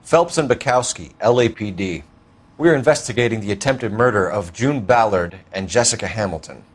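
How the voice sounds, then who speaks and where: Stern, animated police detective. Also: male voice, lowish voice, moderate pace. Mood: businesslike. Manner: blunt, accusatory, formal. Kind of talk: 1940s crime drama game acting.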